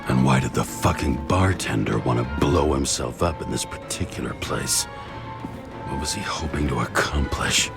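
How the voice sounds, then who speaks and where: An actor playing a bitter, cynical noir detective in a video game, gruff and profane. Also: male voice, a bass voice, normal speed. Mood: grim. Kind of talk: noir game acting and narration. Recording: loud music over the voice.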